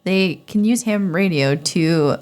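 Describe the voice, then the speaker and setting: A woman's voice: lowish voice, darkish tone. A woman hosting a casual interview, informal and responsive in the way she chats with guests. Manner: informal, responsive, quick to laugh. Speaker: podcast host.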